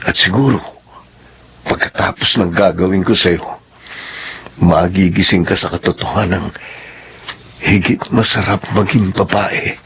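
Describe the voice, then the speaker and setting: An actor with a Filipino accent playing an obsessed, pushy suitor, menacing yet tender, in a radio drama. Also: male voice, sits low, steady tone, a little slow, long pauses, fairly bright tone, a little hiss or hum. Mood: quietly obsessive.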